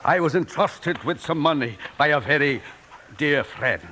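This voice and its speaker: An actor playing a pompous old doctor in a Western radio drama, declaiming in florid theatrical style. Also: male voice, a little high, lively intonation, a little slow, sharp tone, a little hiss or hum. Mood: dismayed. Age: older. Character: pompous old poetry-spouting frontier gentleman doctor. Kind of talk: 1950s Western radio acting.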